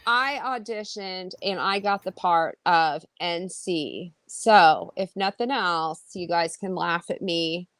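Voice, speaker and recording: A woman's voice: on the low side, slow, few pauses, a bit bright, dry recording. A woman co-hosting a casual livestream chat, greeting viewers as "honey" and keeping the talk light. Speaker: co-host.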